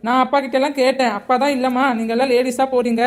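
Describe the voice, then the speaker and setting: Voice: sits high, little pitch movement, rapid-fire, natural voice. A woman voicing an earnest little granddaughter.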